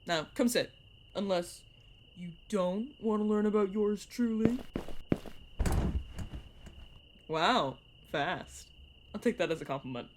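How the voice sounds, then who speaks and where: A woman's voice: medium pitch, measured pace, slight background noise, close to an everyday voice. A woman voice actor playing a playful, sarcastic young vampire mentor who chats and teases in an audio roleplay. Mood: friendly, teasing. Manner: teasing, sarcastic, chatty. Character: playful, teasing vampire mentor, turned at nineteen. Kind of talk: narrative audio roleplay, one-sided conversation.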